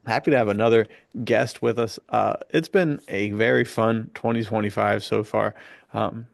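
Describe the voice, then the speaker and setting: Casual male podcast host, creaky voice. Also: mid-range voice, dry recording, clean studio sound. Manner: informal, chummy.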